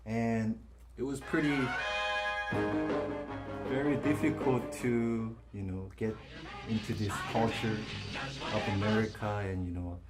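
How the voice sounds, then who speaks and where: A man with a Korean accent, a native Korean speaker, giving an earnest, halting testimony as a talk show guest. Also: on the low side, fairly even intonation, over loud music. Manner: halting, earnest, filler-heavy. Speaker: guest, former missionary. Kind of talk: interview testimony.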